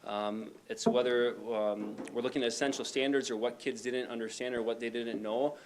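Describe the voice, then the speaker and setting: A man's voice: medium pitch, steady tone, normal speed, noisy background. Chatty man reporting with many fillers. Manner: loose and conversational, frequent fillers. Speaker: high school principal. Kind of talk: informal report to a school board. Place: meeting room.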